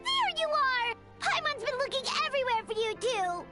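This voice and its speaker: Squeaky, chirpy tiny fairy companion. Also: female voice, very animated, normal speed, bright, forceful tone, exaggerated voice. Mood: chirpy, excited. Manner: speaks of self in third person, exclaiming. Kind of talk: anime game voice acting. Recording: music behind the voice.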